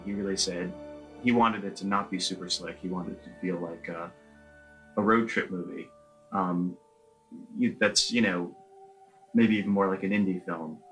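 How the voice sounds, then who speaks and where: A man, the film's director, giving measured, reflective interview answers. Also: low voice, fairly bright tone, rough recording. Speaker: film director.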